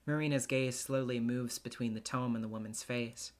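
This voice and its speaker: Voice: medium pitch, steady tone, normal speed. A solo voice actor giving a dramatic scripted narration of a visual novel.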